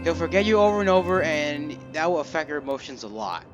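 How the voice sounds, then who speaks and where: A young man giving casual, halting game commentary, thinking aloud as he plays. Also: a little high, big pitch swings, muffled recording. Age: young adult. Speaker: gamer recording a playthrough. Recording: game music behind voice.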